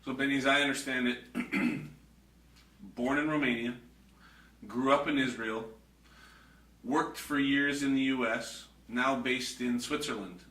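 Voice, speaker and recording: Bright-voiced male interviewer, echoey. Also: mid-range voice, steady tone, a little slow, pauses often. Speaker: interviewer, host. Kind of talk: interview questions. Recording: echoey room, distant mic.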